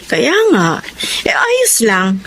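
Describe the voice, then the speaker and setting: A woman's voice: mid-range voice, huge pitch swings, drawn-out words, a bit bright, a little hiss or hum, heavily acted. A woman playing a grateful, tender middle-aged mother with a Filipino accent, heartfelt in a radio drama style. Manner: heartfelt, affectionate.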